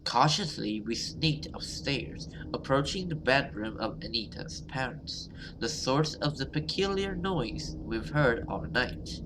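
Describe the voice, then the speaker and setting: A man's voice: mid-range voice, lively intonation, a little slow, noisy background. A man doing casual, hesitant let's play commentary and reading a visual novel's story aloud, swearing now and then. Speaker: gaming YouTuber playing a visual novel. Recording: music playing behind the voice. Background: game music.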